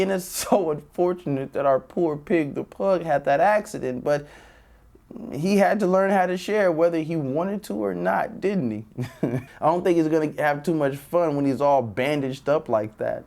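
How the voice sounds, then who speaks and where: A man hosting a children's read-aloud, addressing young viewers directly with drawn-out emphasis. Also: fairly high pitch, vocal fry, darkish tone. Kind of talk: presenting and reading a children's picture book.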